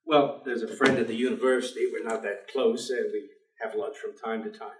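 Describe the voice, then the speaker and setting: A man's voice: on the low side, steady tone, a little fast, lightly acted. Guy acting a gloomy stubborn professor onstage, formal and curt, far from the mic in a roomy theatre. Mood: resigned. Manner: formal, precise, clipped denials. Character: despairing, weary intellectual male professor, curt and stubborn. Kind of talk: staged play reading. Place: theatre stage. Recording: distant, echoey theatre stage recording.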